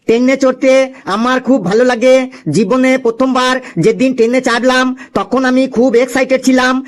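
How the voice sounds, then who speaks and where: A man voicing a cheeky, boastful schoolboy in a comic cartoon, reciting with singsong eagerness. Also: very high-pitched.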